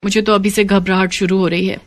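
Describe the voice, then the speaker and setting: A woman acts out a lazy, haughty young sister-in-law from the city. She is dismissive and scheming, peppering her talk with English words in animated storybook style. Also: medium pitch, brisk, close to an everyday voice. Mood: grumbling, scornful. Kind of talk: animated moral story character dialogue.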